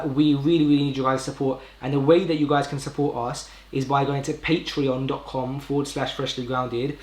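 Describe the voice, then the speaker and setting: A young British man hosting a casual live stream, talking informally in a rambling way and often correcting himself. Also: medium pitch. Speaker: podcast host live streaming. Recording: roomy indoor echo.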